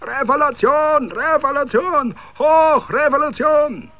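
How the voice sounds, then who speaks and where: A man voicing hoarse, jeering drunken Bavarian village revelers in a radio story, bawling and slurring. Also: extremely high pitch, slow pace, pitched above the performer's own voice, full character voice. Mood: rowdy chanting. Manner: bawling, slurred festive shouting.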